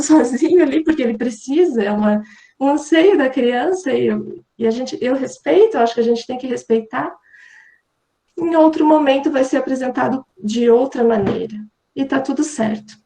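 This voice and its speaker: Woman teacher, high and gentle, explaining at length on a call. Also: normal speed. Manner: gentle, explanatory, frequent tag questions. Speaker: Waldorf teacher. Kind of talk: online talk answering questions.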